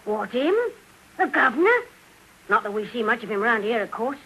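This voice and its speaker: Cheeky, high-pitched Cockney boy played by a woman. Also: animated, moderate pace, pushed and bright, slight background noise, low-quality recording. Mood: curious, cheeky. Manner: inquisitive, cheeky. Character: nosy, cheeky young London warehouse boy. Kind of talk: 1950s radio drama acting. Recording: old 1950s radio broadcast.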